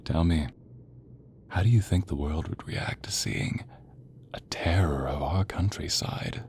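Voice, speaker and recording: A man playing a sadistic, gloating monster hunter in an audio role play, with slow menace, breathy whispers and sharp commands. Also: sits low. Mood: taunting, gloating. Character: sadistic male monster hunter, mad, theatrical, gloating villain.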